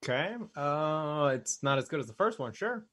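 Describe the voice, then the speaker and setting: A man with a fairly high voice and very lively, swinging intonation acts as a co-host. He speaks informally in short reactions, dropping in his own opinions. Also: normal speed. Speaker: podcast co-host. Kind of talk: casual chat, short reactions.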